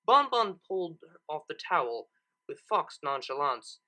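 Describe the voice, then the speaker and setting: A man narrating a story in an expressive storybook style. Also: a little high, unhurried. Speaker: narrator reading fan fiction, channel host.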